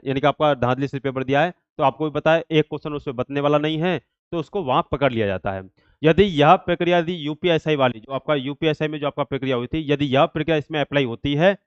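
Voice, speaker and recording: Dull-sounding recording of a higher-pitched man. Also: clean tone, no room sound. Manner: repetitive, filler-heavy explaining with habitual verbal tics. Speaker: exam update channel host and coach. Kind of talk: informal explanatory update addressed to students.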